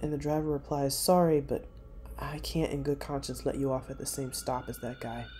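A narrator reading a first-person true story in a measured way, pausing between short phrases. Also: mid-range voice, flat delivery, darkish tone, slight background noise.

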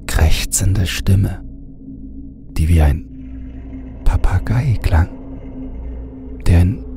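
A man narrating a horror audiobook in a hushed voice that often drops to a half-whisper. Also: low-pitched. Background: music bed.

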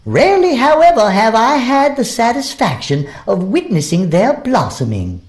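A woman's voice: fairly high pitch, very animated, moderate pace, darkish tone. A woman playing a dignified, solemn, commanding schoolteacher in an audio drama, speaking in formal, oratorical phrases. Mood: stately, proud.

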